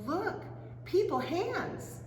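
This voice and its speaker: A woman voicing a chiding yet cheerful mother in a storybook, with a slow, measured, coaxing manner. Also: very high-pitched, big pitch swings, pitched above the performer's own voice, full character voice. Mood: delighted. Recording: echoing room, distant mic, faint noise.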